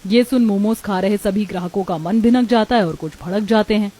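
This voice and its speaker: Mellow woman narrating a story. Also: mid-range voice, brisk. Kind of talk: scripted cartoon story narration.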